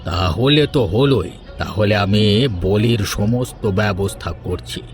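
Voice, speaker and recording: A man playing a sinister, greedy holy man in a cartoon fairy tale, speaking in a slow, calculating, menacing way. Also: on the low side, over music, lightly acted. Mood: coldly scheming. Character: sinister, greedy male holy man who sacrifices children.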